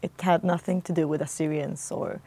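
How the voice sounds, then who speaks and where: Low-voiced woman hosting an interview. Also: fairly even intonation, brisk, warm tone. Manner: attentive, frequent backchannel hums. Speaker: talk show host.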